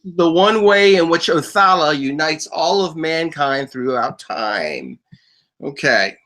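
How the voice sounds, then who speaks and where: A man's voice: on the high side, slow pace, pushed and bright, a little hiss or hum. A man hosting a talk show, explaining at length with frequent stammered restarts. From United States. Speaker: podcast host.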